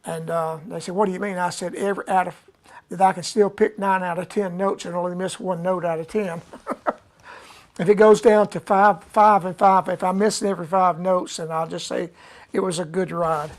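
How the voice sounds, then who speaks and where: Old guy in his 70s, gravelly voice, folksy slow rambling chat on a live stream. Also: high-pitched, steady tone. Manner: folksy, unhurried, many "uh" pauses. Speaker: musician hosting a live stream.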